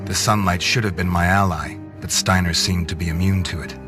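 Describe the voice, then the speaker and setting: An actor playing a grim half-vampire antihero who narrates a video game in a terse, deadpan, noir-like way. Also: male voice, low-pitched, a little fast, music in the background, close to an everyday voice. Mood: grim, recounting.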